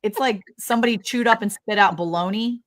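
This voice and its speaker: A woman co-hosting a livestream, chatting casually and telling animated personal stories in which she quotes other people. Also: medium pitch, brisk. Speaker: livestream co-host. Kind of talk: casual chat with anecdotes.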